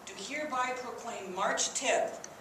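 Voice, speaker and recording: A woman speaking as a mayor, reading a formal proclamation in a measured, ceremonial way. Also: a little high, a bit bright. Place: indoor council chambers. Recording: echoey council chamber, faint background noise.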